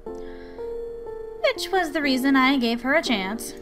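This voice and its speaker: A woman's voice: high-pitched, expressive, slow pace. A woman voicing a stubborn, aloof rebellious girl student in a visual novel, dismissive and sulky. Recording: music behind the voice.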